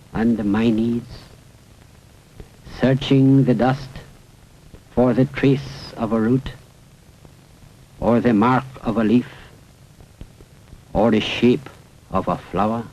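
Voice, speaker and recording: A man recites poetry in a solemn, dramatic style, measured and with deliberate pauses between short phrases, on a 1970s film soundtrack. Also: mid-range voice, warm tone, lo-fi sound. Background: prominent music.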